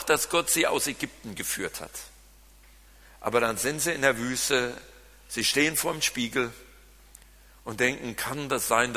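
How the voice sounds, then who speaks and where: A man with a Siegerland German accent preaching a sermon, swinging from loud emphasis to quiet asides. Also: mid-range voice. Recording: long reverb of a large hall.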